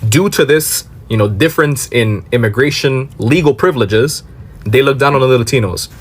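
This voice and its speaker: A man's voice: mid-range voice, moderate pace, husky. A man from Miami with an American accent giving emphatic explanatory commentary, asking questions and answering them himself. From Miami, Florida. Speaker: commentator on a social media reaction video. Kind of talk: explanatory political commentary to camera.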